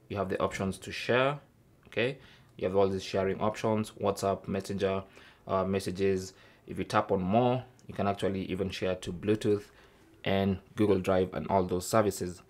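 A man's voice: low-pitched, steady tone, normal speed. A man hosting an informal tech tutorial, explaining things casually step by step. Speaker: host of a tech tips show.

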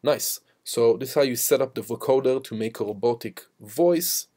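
Man, medium pitch, informal tutor, step-by-step software tutorial, instructional delivery. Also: moderate pace, a little hiss or hum. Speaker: music production instructor. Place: voice-over to a screen recording.

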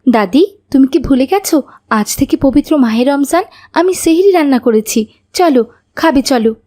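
High-voiced, mellow dutiful young daughter. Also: female voice, expressive, moderate pace. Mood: urging. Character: dutiful, caring elder daughter in an Islamic cartoon. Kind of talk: cartoon voice acting.

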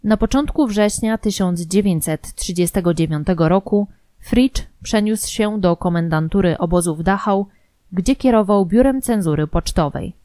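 A woman's voice: mid-range voice, normal speed, dark tone, dry recording. A woman reading scripted biographical documentary narration. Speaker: narrator of a true-crime history audio programme.

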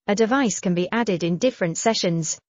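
A woman reading a scripted software tutorial in a measured, instructional manner. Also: medium pitch, no echo. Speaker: tutorial narrator.

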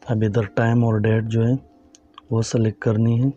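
Low, flat-voiced man walking through steps. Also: moderate pace, muffled recording. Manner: calm, instructive, addresses viewers as friends. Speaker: tech tutorial host. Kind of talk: step-by-step phone tutorial narration.

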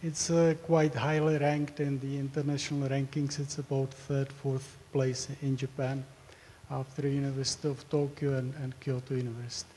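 A man's voice: medium pitch, little pitch movement, moderate pace, dark tone, slight background noise. Hesitant man lecturing in a hall. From Czech Republic. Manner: hesitant, with frequent fillers and repeated words. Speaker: professor giving a research talk. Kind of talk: academic presentation.

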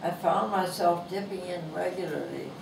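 A woman in her nineties with a thin voice, reading her own humorous essay aloud in a bookstore in a slow, gentle and amused way. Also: fairly low pitch, gravelly. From Canada. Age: 90s. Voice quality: thin, not a strong voice. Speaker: author reading her book. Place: bookstore, indoors. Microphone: lapel mic, filmed from across the room. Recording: echoey shop sound from a distance, background noise.

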